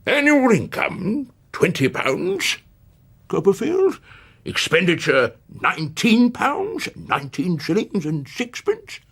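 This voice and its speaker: An actor voicing a grandiloquent, melodramatic middle-aged English gentleman debtor, declaiming his woes with pompous deliberation in a radio drama. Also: sits high, huge pitch swings, slow, slightly rough, voice pushed up, exaggerated voice.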